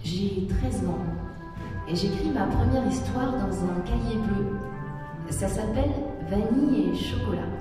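A woman's voice: mid-range voice, measured pace. Woman reciting in an echoing hall. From northern France. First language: Berber. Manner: reflective, poetic delivery. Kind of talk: spoken-word performance. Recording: roomy hall recording from far off with music.

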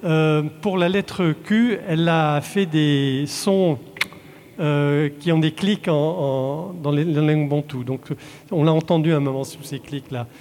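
A man from Belgium, a translator, answering audience questions in a halting way with frequent restarts. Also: on the high side, darkish tone. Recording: long hall reverb.

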